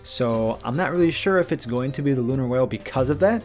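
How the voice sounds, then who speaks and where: A man's voice: medium pitch, animated, fast, a little mellow, faint music behind. A man, chatting casually and rambling a bit as he answers viewer questions and covers game news. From Minnesota, USA. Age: mid-20s. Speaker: gaming channel host.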